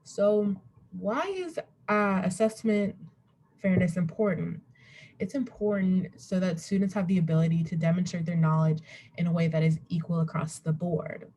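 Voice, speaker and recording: A young woman with a soft, dark-toned voice talks through a student presentation. She rambles a little and corrects herself in an informal way. Her pitch sits on the low side, and a faint noise runs behind her webcam recording. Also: fairly even intonation, moderate pace. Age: young adult. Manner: rambling, self-interrupting, chuckles at herself.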